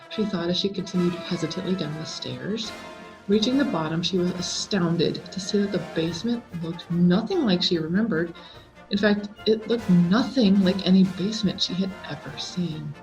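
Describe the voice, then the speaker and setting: A woman reading a scary story aloud as a dramatic, expressive scripted narration full of suspense. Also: lowish voice, rough recording. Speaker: story reader.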